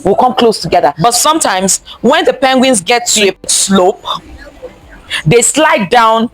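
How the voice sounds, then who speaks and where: A woman teacher leads an interactive story time in a high voice with very lively intonation. She reads expressively to young children, repeats key phrases and keeps putting questions to them. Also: measured pace, no echo, poor audio. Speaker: teacher reading a picture book aloud to children.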